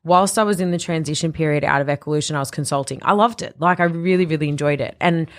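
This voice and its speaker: A woman's voice: deep voice, fast talker. A young woman, a business founder talking casually in a podcast interview, chatty and full of restarts.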